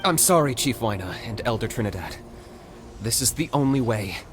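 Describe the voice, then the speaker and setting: Actor as a calm, clipped young hunter, mid-pitched and expressive, under heavy music. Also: male voice, moderate pace, lightly acted. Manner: calm, clipped, matter-of-fact. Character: young male hunter, cool and resolute. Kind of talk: video game dub. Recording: game cutscene with loud music.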